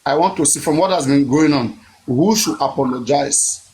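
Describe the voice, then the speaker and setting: A man hosting a heated live-stream debate, cutting in with drawn-out exclamations. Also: fairly high pitch, a little mellow, slight background noise, low-quality recording.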